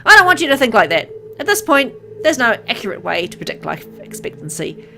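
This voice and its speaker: A woman reading aloud as a kind, caring male doctor in a game, speaking gently and earnestly. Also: fairly high pitch, very animated, fairly quick, gravelly, soft background music, exaggerated voice. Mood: reassuring.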